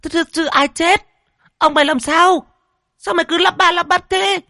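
A man voicing a shrill, panicked rich old woman who scolds a servant and screams for her drowned husband. She speaks fast in a very high voice. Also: steady tone, gravelly, fairly bright tone, dry recording, clean studio sound, full character voice. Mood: furious shrill. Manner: screeching, scolding.

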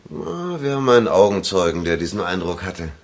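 Deep-voiced police inspector. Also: male voice, big pitch swings, fairly quick. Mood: probing. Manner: terse, questioning. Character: weary, probing male police detective inspector. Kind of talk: German radio crime drama acting. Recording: clear background noise.

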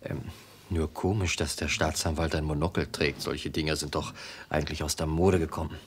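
An actor playing an affable, unsuspecting travelling salesman in his forties in a German radio play, with a husky voice and a bluff, easygoing manner. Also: very deep voice, flat delivery, normal speed, a little hiss or hum. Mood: amused, affable. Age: 40s. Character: affable, naive forty-year-old travelling salesman, amused, unsuspecting.

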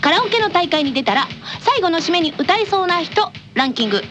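A woman's voice: very high-pitched, very animated, fairly quick. A woman co-hosting a radio show, chatting casually and playfully teasing as she reads listener mail. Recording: music bed behind the voice.

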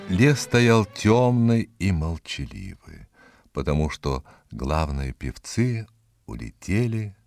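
A man narrating an animal tale as a theatrical, expressive storyteller, reading from a script. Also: rumbling low.

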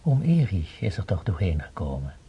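An actor playing a calm grown son speaking gently to his mother in a radio play. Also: deep voice, normal speed, breathy edge, exaggerated voice. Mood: gentle. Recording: dull, noisy old radio play recording.

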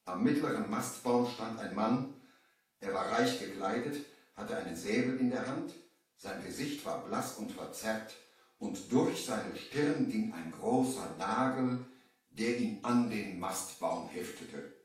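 A shaken merchant narrating a ghostly sea adventure in a 1970s radio play. His voice is fairly low and dramatic. It sounds distant and heavily echoed, like an old vinyl record. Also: lively intonation, slow. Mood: grave, suspenseful. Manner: dramatic, storytelling. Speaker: narrator and lead in an audio drama.

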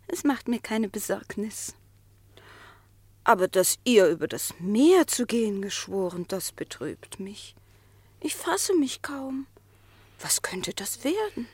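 Worried fox wife in a radio drama, husky n breathy, pleading, very up and down. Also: female voice, medium pitch, a little mellow, no echo. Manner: plaintive, theatrical. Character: anxious, pleading vixen, devoted worried wife. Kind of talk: German radio play verse drama.

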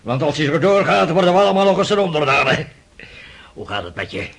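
Scoffing old prince, very raspy and creaky, brusque radio drama father. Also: fairly high pitch, big pitch swings, fairly bright tone, heavily acted. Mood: gruff mocking. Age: older. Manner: brusque, scoffing, rapid-fire questioning. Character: gruff, mocking, energetic old prince and father.

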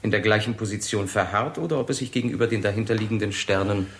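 A man plays a sober young physicist in a sixties radio play. His low voice sets out each step precisely and deliberately, like a methodical lecture. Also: natural voice. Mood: sober, analytical. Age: 20s. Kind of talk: 1960s German radio drama acting.

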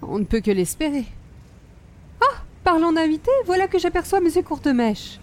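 A woman's voice: very high voice, very animated, moderate pace, a little hiss or hum. A woman playing an affected, snobbish older hostess with a Quebec French accent and precious, formal diction, in radio comedy style. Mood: fussy, disdainful. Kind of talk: old-time radio comedy acting.

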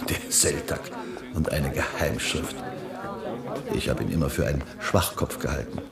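A low-voiced man in a seventies German radio drama. He is curious and wry, with a musing, sardonic way of talking. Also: moderate pace, gravelly, a loud crowd. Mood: amused, intrigued. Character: curious, wry man deciphering a coded document. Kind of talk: 1970s German radio play acting.

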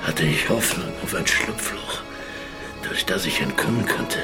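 An actor playing a ruthless, cynical adventurer who recounts his schemes slowly and deliberately in a radio play. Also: low voice, animated. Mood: brooding, scheming. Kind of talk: dramatic first-person radio play monologue. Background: loud music.

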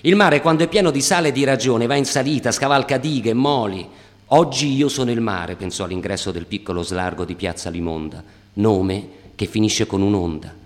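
A man's voice: on the low side, brisk. A man performer giving a dramatic literary reading in the style of radio theatre. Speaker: stage performer. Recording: long reverb on the voice.

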